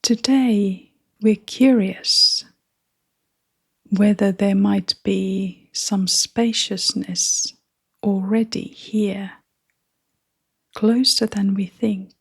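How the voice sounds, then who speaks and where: A woman leading a guided meditation in a soft, half-whispered, breathy voice, with gentle, unhurried phrasing. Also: mid-range voice, steady tone.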